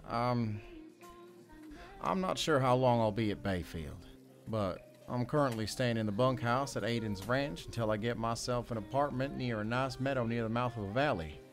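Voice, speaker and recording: Young male ranch hand, acted, audio drama. Medium pitch, wry, folksy, halting and rambling; music underneath. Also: expressive, lightly acted.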